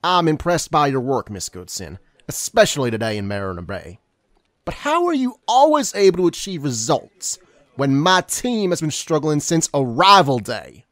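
A man's voice: on the high side, big pitch swings, moderate pace, fairly bright tone, dry recording. A man playing a principled, skeptical FBI agent, formal and earnest, in an audio drama.

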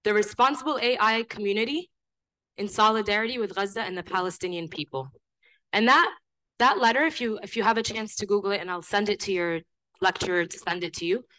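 A young woman, a student activist, speaking loudly and insistently to a class without a script, often restarting her sentences. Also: medium pitch, rough recording. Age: young adult. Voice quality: loud voice. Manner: impassioned, insistent, with frequent restarts. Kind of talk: impromptu talk to a class. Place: lecture hall.

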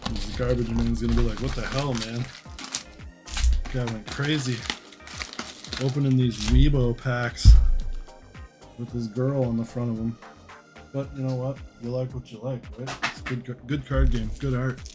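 A man's voice: medium pitch, level tone, normal speed. A middle-aged man chats in a laid-back, rambling, slangy way, like a shop owner hosting an unboxing video. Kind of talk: casual rambling chat while opening card packs. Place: indoor room at a card-opening table. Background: music, crinkling card packs.

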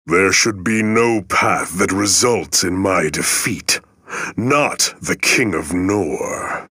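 An actor playing an arrogant, imperious tyrant king with a forceful, gravelly voice and pompous, deliberate delivery. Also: on the low side, no echo, clean studio sound, heavily acted.

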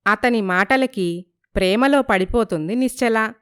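A woman's voice: mid-range voice, unhurried, a bit bright, clear, resonant tone. A woman narrating a scripted moral story as the storyteller.

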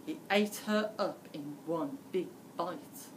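A woman's voice: on the low side, slow. A woman in her fifties reading a children's story dramatically, like a primary school teacher. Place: school library indoors. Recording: distant mic, faint room noise.

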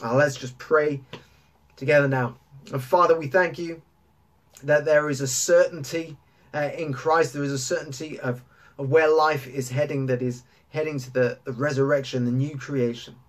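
A man with a British accent and a slightly high voice speaks as a church pastor. He addresses his congregation informally and earnestly. He gently urges them on, returning to key phrases for emphasis. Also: steady tone, moderate pace. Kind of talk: informal sermon and church update to camera. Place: small indoor room lined with bookshelves.

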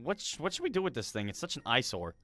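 A man playing a brash, excitable young roommate in an improvised gaming roleplay. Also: medium pitch, quick pace, faint music behind. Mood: casual, amused. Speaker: series star.

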